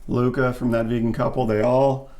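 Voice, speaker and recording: A man with a deep, raspy voice co-hosting a casual chat, making wry, joking remarks. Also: level tone, normal speed, darkish tone. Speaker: co-host.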